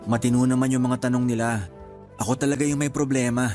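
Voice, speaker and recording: Casting: man playing insecure young boyfriend, medium pitch, subdued and earnest, radio romance drama. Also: fairly even intonation, quick pace, warm tone, faint music behind, lightly acted. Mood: dejected. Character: young, discouraged, self-doubting, affectionate boyfriend seeking work. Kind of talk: Tagalog radio drama acting.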